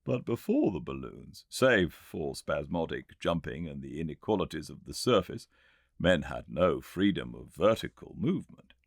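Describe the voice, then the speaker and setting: A man voicing a Victorian gentleman inventor with a British accent, lecturing eagerly and confidently in an emphatic audiobook performance. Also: rumbling low, big pitch swings, vocal fry, no echo, studio quality, heavily acted. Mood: eager rebutting. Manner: emphatic, didactic, pauses to make points. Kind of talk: audiobook character dialogue.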